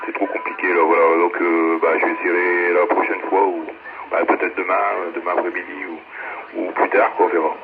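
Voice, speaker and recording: Male radio ham, deep voice, halting colloquial chat, narrow tinny two-way radio sound. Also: flat delivery. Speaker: amateur radio operator. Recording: tinny radio relay, faint noise.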